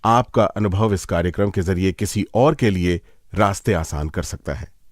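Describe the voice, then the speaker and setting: A man's voice: mid-range voice, dry recording, clean studio sound. A man presenting a radio magazine show in a playful, conversational way, addressing listeners directly.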